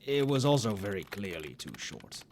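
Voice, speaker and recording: A man acts out an overworked wizard professor, a little tipsy late at night, in a soft ASMR roleplay. The voice is lowish and muttering, with musing, wry asides that move freely up and down in pitch. There is a faint noise behind it. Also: sing-song, normal speed. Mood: dry, wry.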